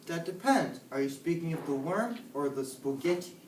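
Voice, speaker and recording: A man acts out a pompous scientist lecturer, defensive and theatrical, prone to childish hysterics. The voice is high and swings widely in pitch. It is a stage comedy heard from across a roomy space. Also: animated, moderate pace, darkish tone, lightly acted. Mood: lecturing. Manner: theatrical, declamatory. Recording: roomy, distant microphone, noticeable noise.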